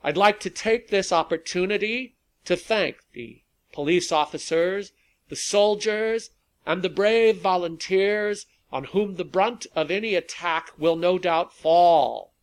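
A man voicing a confident, defiant activist leader in a story, declaiming loudly and deliberately to rally a huge crowd. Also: high-pitched, voice pushed up. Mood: solemn, rousing. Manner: declamatory, loud, deliberate oratory with drawn-out words. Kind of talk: speech in a narrated story.

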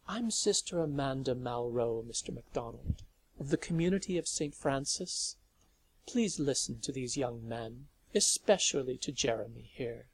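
A calm, gentle nun speaks softly and with quiet sureness, voiced by a male reader. The tone is husky with a gravelly texture. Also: medium pitch, normal speed, rough recording. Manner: soft, quiet, composed.

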